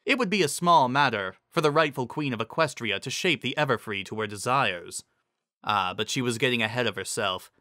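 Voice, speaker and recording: A man's voice: mid-range voice, lively intonation, fairly quick, bright, forceful tone, no room sound, studio quality. A man giving a dramatic reading of a scripted fantasy story as its narrator.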